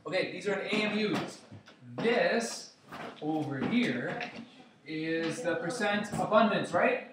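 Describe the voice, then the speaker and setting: Casual, playful man teaching, a touch high, boomy room with noise behind. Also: expressive, slow pace, recorded from a distance. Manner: playful, joking with students. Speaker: chemistry teacher. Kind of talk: classroom review lesson. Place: classroom. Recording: echoey classroom with noise.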